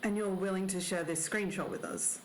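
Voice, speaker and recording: A woman's voice: mid-range voice, moderate pace, slight background noise. A woman co-hosting a podcast, talking and reading aloud over music. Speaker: podcast co-host.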